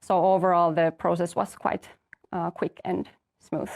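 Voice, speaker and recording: A young woman from Finland, a master's student, calmly explaining her path and the application steps in an info session. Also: on the low side, measured pace, creaky. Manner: orderly, explanatory, light fillers. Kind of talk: answering questions in an info session.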